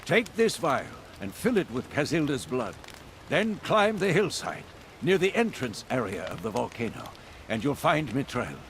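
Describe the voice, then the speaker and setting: A man playing a cunning, scheming fugitive in a fantasy video game, talking in a deliberate, dramatic, conspiratorial way. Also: medium pitch, very animated, fairly bright tone, slight background noise. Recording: faint outdoor game ambience behind.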